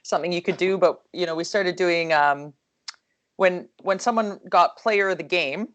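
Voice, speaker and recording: A woman with a low, calm voice talks about coaching over a video call. Her speech is relaxed and rambling, full of fillers and self-corrections, and her tone stays soothing. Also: normal speed. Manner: chatty, lots of fillers and restarts. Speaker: hockey coach. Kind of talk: casual video call discussion.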